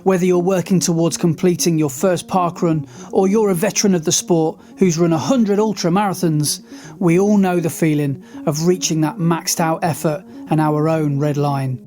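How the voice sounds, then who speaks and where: A narrator reading a scripted podcast intro in a smooth, even, promo-style delivery. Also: medium pitch, moderate pace, faint music behind.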